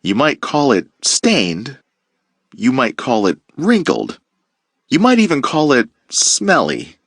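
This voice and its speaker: A man teacher reading a children's picture book aloud with playful, expressive storytelling. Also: mid-range voice, slow pace, breathy edge, no room sound, clean studio sound.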